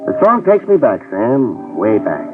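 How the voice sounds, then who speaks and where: An actor playing a wisecracking New York private detective who narrates a 1950s radio drama. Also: male voice, mid-range voice, wildly swooping pitch, measured pace, recorded from a distance. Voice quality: dry, wisecracking. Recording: tinny old radio broadcast with music.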